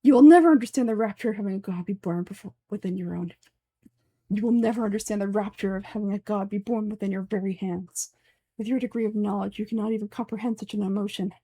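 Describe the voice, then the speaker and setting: A woman's voice: mid-range voice, fast, dark tone, dry recording, close to an everyday voice. A woman voicing a pompous, fanatical older male sage from a game, speaking formally and in declamatory fashion. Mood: fervent. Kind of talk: game dialogue dub.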